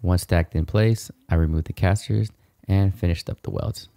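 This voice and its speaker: A man's voice: sits low, moderate pace, dark tone. A man in his thirties hosting a DIY video, narrating a how-to in a casual, informal, explanatory way.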